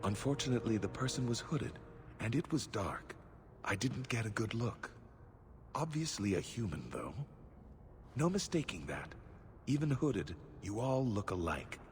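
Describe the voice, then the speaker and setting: A man's voice: fairly low pitch, very animated, measured pace, a little hiss or hum. Glib, swooping man messenger. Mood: glib, dismissive. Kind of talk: video game voice acting. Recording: loud game music behind.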